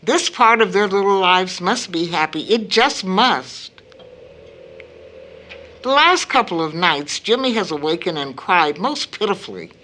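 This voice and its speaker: A gentle, grave mother in a radio play. Her voice is bright and swings widely in pitch. She speaks slowly and deliberately, with earnest dramatic weight and deep concern for her family. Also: expressive. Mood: troubled, brooding. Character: worried middle-aged mother, gentle and grave. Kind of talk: audio drama acting.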